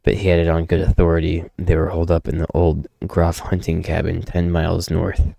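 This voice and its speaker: A man reading as a gruff, haunted loner who narrates a grim past in a brooding, world-weary manner. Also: low voice, monotone, a little fast, dark tone, no echo, full character voice. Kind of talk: dramatic first-person comic narration.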